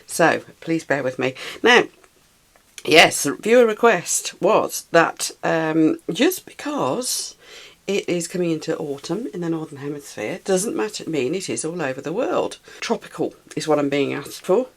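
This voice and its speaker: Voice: medium pitch, very animated, a little slow, creaky, fairly bright tone. A British resin craft tutor who sounds a little snuffly and chats while working, thinking aloud and cooing over the materials. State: slightly snuffly, recovering from a cold. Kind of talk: casual hands-on craft tutorial.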